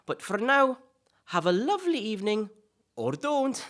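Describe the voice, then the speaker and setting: A chatty celebrity podcast host in an audio play, a man played by a woman, with a very animated swing in pitch. The delivery stumbles politely, then turns cheeky and blunt. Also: moderate pace. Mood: cheery sign-off. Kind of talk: audio play dialogue in interview form.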